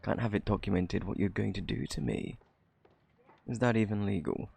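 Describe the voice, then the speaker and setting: A man acts out a smug British thief in an intimate audio roleplay. His voice is low and husky, full of heavy creak. He takes his time, drawling out coy, flirtatious taunts. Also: moderate pace, a little mellow, full character voice. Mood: playful, smug. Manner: teasing, drawling, theatrically coy. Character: smug, flirty male thief teasing a detective. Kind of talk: flirty ASMR roleplay acting.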